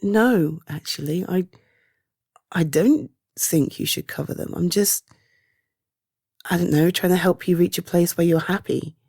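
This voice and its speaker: Casting: actress playing caring British woman for intimate ASMR roleplay; low, mellow, halting, reassuring delivery. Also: slightly rough, no room sound, studio quality. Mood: gentle reassurance. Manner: hesitant, soft reassuring, halting pauses. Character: caring, reassuring woman comforting a loved one. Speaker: voice roleplay performer. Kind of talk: ASMR roleplay, one-sided intimate conversation.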